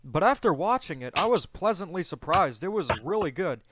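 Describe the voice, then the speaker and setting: A man's voice: sits high, very animated, normal speed, background noise. A man hosting a casual movie discussion, giving his opinions and explaining them. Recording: dull lo-fi audio, music bed. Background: music.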